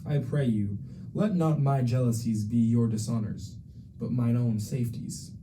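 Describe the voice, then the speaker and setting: A young man playing a self-doubting exiled prince in a student Shakespeare dramatization, reciting archaic verse formally and with measured care. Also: medium pitch, mellow, slight background noise, natural voice, poor audio. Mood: wary.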